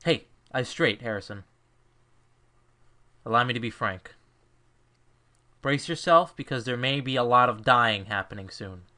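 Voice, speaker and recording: Man playing stern male mentor, short-tempered, bright forceful tone, mid-pitched, lecturing, fan dub drama. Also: measured pace, long pauses. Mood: frank, serious. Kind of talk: fan dub of a visual novel.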